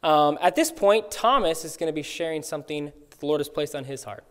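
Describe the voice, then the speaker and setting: A young man speaking at a church pulpit, praying and sharing a short devotional in an earnest, halting way. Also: a little high, expressive, a bit bright. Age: young adult. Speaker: worship leader. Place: church sanctuary, at a wooden pulpit. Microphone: pulpit mic. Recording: long reverb of a church hall.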